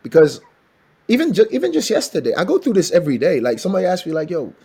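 A man from Benin, West Africa, a singer with a raspy voice, telling stories in an animated way in a casual interview. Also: sits high, quick pace. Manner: animated storytelling, laughs often. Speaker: singer and songwriter guest.

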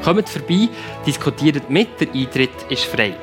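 A man hosting and moderating a generational talk show, asking calm, low-key questions. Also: a little slow, over music. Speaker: podcast host, moderator.

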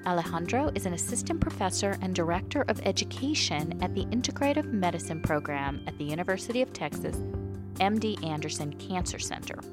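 A woman's voice: on the low side, animated, fairly quick, over loud music. A woman hosting a podcast, introducing it and interviewing.

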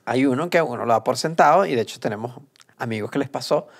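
A man's voice: mid-range voice, fast talker. A Venezuelan man with a Venezuelan accent, hosting a casual podcast chat and laughing easily. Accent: Venezuelan Spanish. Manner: relaxed, laughs often, reads out viewer comments. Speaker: podcast host.

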